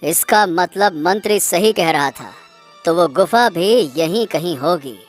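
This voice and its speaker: A man's voice: sits high, expressive, measured pace, soft background music. A man voicing a proud, wronged young prince in a cartoon fairy tale, speaking emphatically. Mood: eager.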